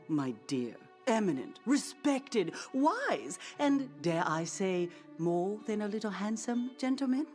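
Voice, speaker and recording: Flamboyant, sweeping-voiced theater director lady. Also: medium pitch. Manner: theatrical, pompous, effusive, dramatic pauses. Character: flamboyant, gushing, self-important female theater director, chatty flatterer. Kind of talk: video game character acting.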